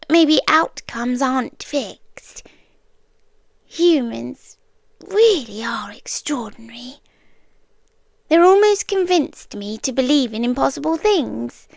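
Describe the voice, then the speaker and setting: A British woman playing an otherworldly, possessive stalker girlfriend in an ASMR roleplay, soft and breathy, often whispering, intimate and eerily tender. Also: sits high, dry recording, exaggerated voice. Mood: tender, musing. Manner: slow, deliberate, intimate, teasing.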